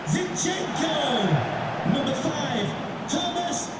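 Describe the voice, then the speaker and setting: A man announcing players in a stadium, shouting names out in a drawn-out way. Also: extremely high pitch, big pitch swings, heavy background noise. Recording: echoing distant stadium PA, crowd, music. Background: roaring crowd and loud music.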